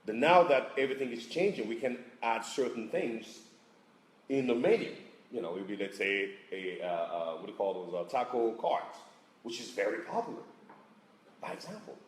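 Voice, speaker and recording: A man from answers on a panel in a halting, animated way, his pitch swinging up and down as he hunts for words. The room is echoey around him. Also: a little hiss or hum. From Congo. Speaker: panelist, business operator. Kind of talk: panel discussion.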